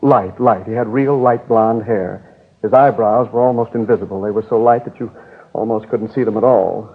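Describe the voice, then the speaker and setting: An actor playing a nervous, sarcastic bank manager in a querulous, flustered manner, in 1950s radio drama style. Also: male voice, on the low side, normal speed. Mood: fussy. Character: fussy, nervous, sarcastic male bank manager, a robbery victim. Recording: old 1950s radio recording, narrow tinny band.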